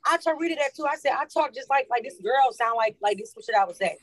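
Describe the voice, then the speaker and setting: A woman's voice: a little high, lively intonation, fast talker. A woman being interviewed as a cast member, chatting casually. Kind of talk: casual chat.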